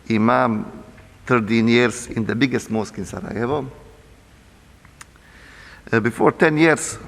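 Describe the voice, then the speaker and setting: Bosnian-accented man speaking slowly in a hall. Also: medium pitch, gravelly, fairly bright tone. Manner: halting, repeats words and restarts, earnest. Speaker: imam. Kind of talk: seminar talk. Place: university seminar hall. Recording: long hall reverb.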